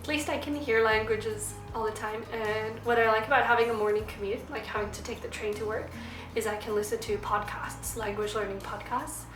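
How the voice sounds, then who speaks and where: A young woman from South Africa, slightly hoarse, chatting upbeat to camera in a casual vlog. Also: fairly high pitch, steady tone, brisk, over music. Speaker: vlogger. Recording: echoey room.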